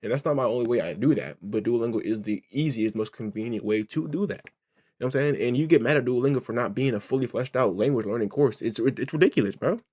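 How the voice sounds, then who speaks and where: A man's voice: mid-range voice, quick pace, a little mellow. A man rambling through casual reaction commentary, restarting his sentences, swearing freely and asking "right?" over and over. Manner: rambling, restarts, keeps asking "right?" and "you know what I'm saying?". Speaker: reaction streamer.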